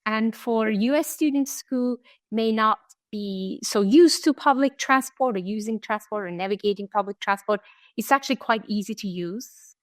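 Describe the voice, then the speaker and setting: Measured woman, dry sound. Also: on the high side, dry recording. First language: Turkish. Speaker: study abroad program director. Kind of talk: interview answers.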